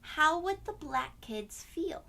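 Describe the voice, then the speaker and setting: A woman's voice: high-pitched, lively intonation, slow pace, creaky, sharp tone, pitched above the performer's own voice, full character voice. A woman voicing a patient mother in a children's storybook, explaining hard things to her child in an instructive way. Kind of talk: children's storybook read-aloud.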